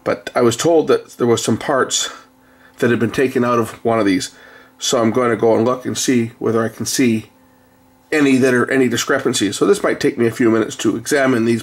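A man's voice: mid-range voice, fairly even intonation, brisk, breathy edge. A middle-aged man giving a casual, conversational hands-on repair commentary, explaining as he works at an indoor bench. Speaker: repair video host. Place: indoor workbench. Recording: noisy room recording.

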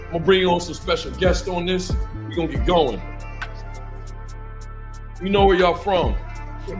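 Hoarse man, thirties, livestream host, loose chatty talk, webcam in a small room, faint music behind. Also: a little high. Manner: loose, chatty, greets viewers by name. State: hoarse, losing his voice. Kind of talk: casual livestream chat.

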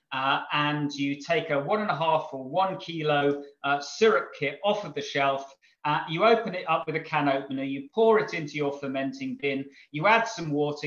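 A man's voice: a little high, a bit bright. A middle-aged man, a brewery owner giving a casual, rambling webinar talk from home over a video call. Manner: rambling, chuckling, many ums and restarts. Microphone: video call microphone. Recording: echoey room, dull video call audio.

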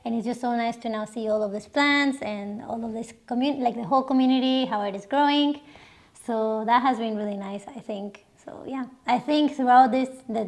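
A woman's voice: on the high side, a little mellow. A young woman from Mexico, hosting a casual Q&A on her sofa and laughing often. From Mexico, raised partly in Canada. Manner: chatty, laughs often, many restarts. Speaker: channel host. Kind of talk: casual Q&A chat. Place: living room sofa, indoors. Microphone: camera a few feet away.

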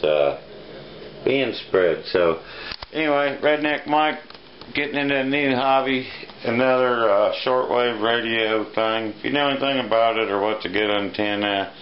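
A middle-aged man talking casually and haltingly in a plainspoken way, as if showing a gadget on a home video. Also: lowish voice. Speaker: amateur vlogger, radio hobbyist. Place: small indoor room, kitchen-like. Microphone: handheld camera at arm's length. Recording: dull lo-fi camera audio, clear noise.

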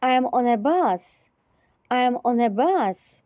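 A woman teacher repeating short phrases slowly and carefully in a repetition drill. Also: a little high, lively intonation, a little mellow, no echo. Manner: repeats each phrase three times, carefully.

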